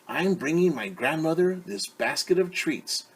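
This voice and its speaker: A man's voice: a little high, lively intonation, a little slow, slightly rough, pitched above the performer's own voice, full character voice. A man doing a sweet, eager little girl. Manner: bright, eager and polite.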